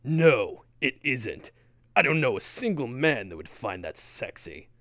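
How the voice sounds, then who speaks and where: Male straight man, annoyed, animated, dead-dry recording. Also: medium pitch, unhurried, no echo.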